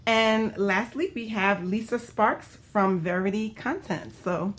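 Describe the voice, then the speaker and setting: A woman's voice: medium pitch, takes it slowly, a little hiss or hum. A woman hosting a casual group talk show in an informal, joking way.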